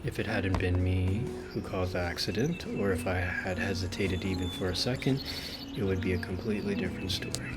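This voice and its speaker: A man's voice: deep voice, steady tone, fairly quick. A man reading a video game's story aloud as a streamer, with casual asides. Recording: loud game music behind the voice. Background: loud game music.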